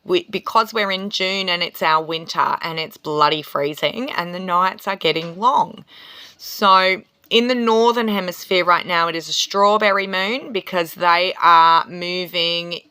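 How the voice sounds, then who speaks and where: A chatty Australian woman talks through an astrology reading in a bright, forceful voice that sits a little low. The sound is dry and close. She rambles along with ums and small laughs. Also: a little slow, clean tone, dry recording. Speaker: spiritual healer and tarot reader. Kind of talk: casual astrology talk to camera.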